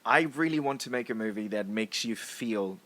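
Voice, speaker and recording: A man's voice: on the low side, expressive, moderate pace, a bit bright. A man co-hosting a podcast, giving his opinions in casual conversation. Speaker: podcast co-host. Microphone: remote online call.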